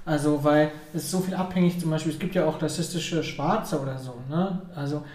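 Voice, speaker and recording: A man's voice: fairly high pitch, brisk, darkish tone. Hesitant man from Ukraine, echoey. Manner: hesitant, tag questions. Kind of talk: casual chat. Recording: echoey room.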